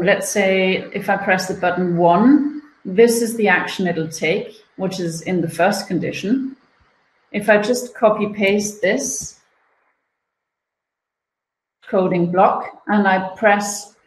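A woman in her thirties presenting an online coding webinar from home over a webcam, explaining steps in a relaxed way with playful comparisons. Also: mid-range voice. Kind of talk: online teaching demo. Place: home room, indoors. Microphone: laptop webcam video call. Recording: roomy echo.

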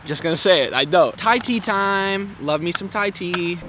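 A man's voice: high-pitched, animated, moderate pace, pushed and bright, soft background music. A young man vlogging to camera in a city park, talking bluntly and swearing a lot, mocking himself as he goes. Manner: crude, blunt, self-mocking, heavy swearing. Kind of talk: casual vlog talk to camera.

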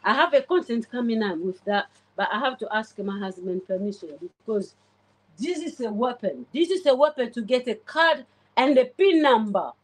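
A chatty woman in her forties talks through a home cleaning livestream, her voice sitting a little high. She greets viewers by name as she works, recorded on a phone in her kitchen with a faint hiss underneath. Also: animated, moderate pace, rough recording. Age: 40s. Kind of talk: casual live commentary while cleaning. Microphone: phone camera pointed at the oven.

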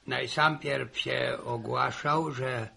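An older man playing a talkative, folksy country grandfather in a 1960s Polish radio serial, telling things in a halting, repetitive way. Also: mid-range voice, fairly even intonation, pushed and bright, full character voice. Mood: storytelling. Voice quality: husky, gravelly. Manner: halting, repetitive rustic storytelling. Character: old rural grandfather, talkative, folksy storyteller. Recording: old 1960s radio recording.

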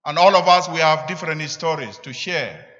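A middle-aged man with a South Sudanese accent preaches a sermon deliberately, in short emphatic phrases, at a church lectern. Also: a little high, expressive, bright, forceful tone. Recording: echoing church, slightly dull sound.

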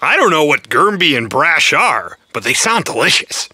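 A woman's voice: sits low, big pitch swings, moderate pace, sharp tone, slightly rough, full character voice. A woman voicing a playful, friendly dog in a children's cartoon. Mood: curious. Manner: playful, upbeat.

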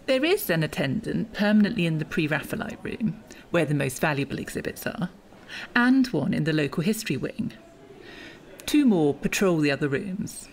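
A woman's voice: deep voice, very animated, normal speed, a little hiss or hum. A woman acting as a prickly, guarded museum official in an audio drama, sarcastic and condescending. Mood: sardonic, defensive.

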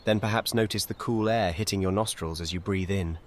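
A man guides a meditation as scripted narration, speaking calmly in measured, soothing, short and gentle instructions. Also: low-pitched.

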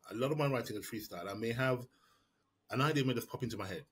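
A man's voice: medium pitch, fast talker, husky. A man, answering questions about his writing over a video call, thoughtful and prone to restarting his sentences. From Jamaica. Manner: reflective, frequent restarts and repeated words. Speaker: prize-winning writer answering questions. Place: small room indoors. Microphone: webcam or laptop mic on a video call.

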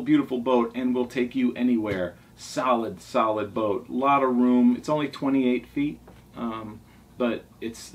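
A man's voice: mid-range voice, highs cut off. A man in his forties talking casually and enthusiastically in a rambling way, as a vlog host going through favourites at home. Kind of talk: casual talk reviewing favourite books. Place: small furnished room indoors at a table. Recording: distant mic, noisy room ambience.

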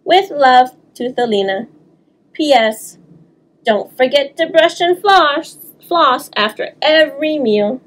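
Woman reading as a high-voiced wise tooth fairy. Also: animated, takes it slowly. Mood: kindly, instructive. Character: gentle, wise female tooth fairy giving advice.

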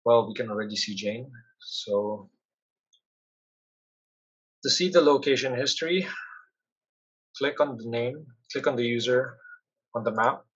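A man with a slightly husky, mid-pitched voice walks through a software demo step by step. His narration is instructional and explanatory, and the recording is a little dull. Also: a little slow, pauses often. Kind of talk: instructional screen-share narration.

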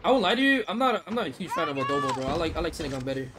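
A young man with a fairly high voice talks in a relaxed, rambling way while he plays, answering chat between rounds. Game sounds and clicks stay clearly audible behind his headset mic. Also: rapid-fire. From Philippines. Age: young adult. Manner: laid-back, rambling, reads chat aloud, swears casually. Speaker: mobile game streamer. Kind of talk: casual livestream chat while playing. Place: small room indoors. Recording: game audio noise behind voice.

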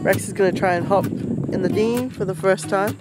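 A man's voice: high voice, a little fast. A man giving casual vlog commentary as host, recorded outdoors. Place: outdoors on a marina and dinghy. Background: music.

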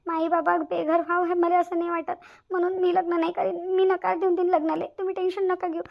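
A woman plays a selfless young cartoon bride-to-be with a very high voice and a Varhadi Marathi accent. She speaks fast and earnestly, repeating phrases for emphasis. The recording is slightly dull. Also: little pitch movement, creaky. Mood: resigned, anxious. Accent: Varhadi Marathi from Vidarbha. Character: anxious, selfless young bride-to-be, tenderly devoted. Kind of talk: cartoon family drama dialogue.

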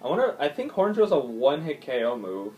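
A man's voice: medium pitch, sing-song, fairly quick, dark tone. A man giving casual, swearing gameplay commentary, thinking aloud with lots of ums as he plays. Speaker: gaming YouTuber. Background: faint game music.

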